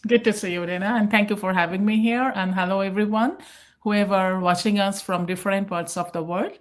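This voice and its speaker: A woman's voice: medium pitch, fairly even intonation, brisk. A middle-aged woman with an Indian Bengali accent, presenting casually as a guest on a live online talk. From Kolkata, India. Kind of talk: live self-introduction talk.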